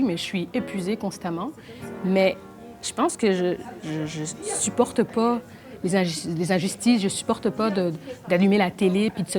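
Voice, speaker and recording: A young adult woman from Belgium, talking fast with many restarts in a documentary interview. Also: on the low side. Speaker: actress and playwright.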